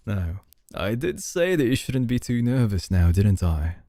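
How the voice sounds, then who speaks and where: Guy doing a dominant flirty ceo for asmr, low slow voice, teasing and deliberate. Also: mellow, no room sound, studio quality, exaggerated voice. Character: suave dominant male CEO, possessive and flirtatious. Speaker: ASMR voice actor. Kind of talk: intimate ASMR roleplay.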